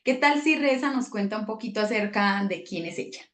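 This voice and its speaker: A woman's voice: medium pitch, lively intonation, a little fast, a bit bright, echoey room. A woman hosting an online book presentation, chatting effusively with the authors. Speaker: host.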